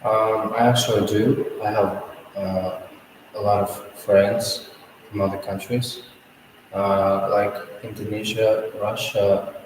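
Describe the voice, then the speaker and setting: A man's voice: fairly low pitch, little pitch movement, slow, slight background noise. A teenage boy from Kazakhstan, a student discussing in English with a hesitant delivery full of "uh" and "like". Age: teens. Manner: hesitant, frequent "uh" and "like". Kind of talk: spoken English exam discussion. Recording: echoey room from far off.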